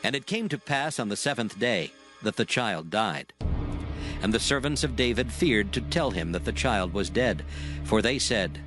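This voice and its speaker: A man narrating dramatized scripture in a measured, solemn way. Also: on the low side, lively intonation, over music.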